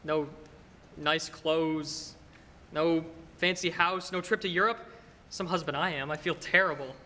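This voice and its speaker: Young actor as bright-voiced, high-pitched, earnest family man. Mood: downcast, self-doubting. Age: young adult. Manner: stammering, halting, excitable. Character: earnest, restless small-town family man, George. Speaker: high school stage actor. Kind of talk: 1940s-style radio play acting. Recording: hall reverb, noisy live stage recording.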